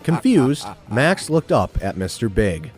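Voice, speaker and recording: Man narrating a story, mid-pitched. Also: sing-song, measured pace, slightly rough, soft background music.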